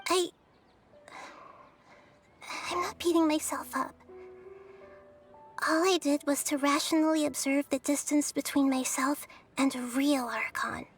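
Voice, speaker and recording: A woman voicing a gentle, wise, childlike young goddess in a video game, speaking softly in a measured, thoughtful, explanatory way. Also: sits high, steady tone, faint music behind. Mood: quietly defensive. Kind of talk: English video game dub acting.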